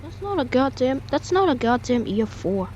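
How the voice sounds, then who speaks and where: A woman giving excitable live gameplay commentary, with frequent short repeated exclamations. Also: high-pitched, normal speed, muffled recording, slight background noise. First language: Thai. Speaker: gamer playing a storm-chasing game.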